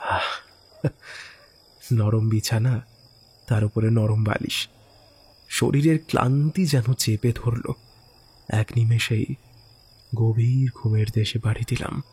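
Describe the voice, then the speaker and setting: A man narrating a first-person horror story in an expressive, theatrical way, with musing asides and exclamations. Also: on the low side, slow, long pauses, breathy edge. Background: background music.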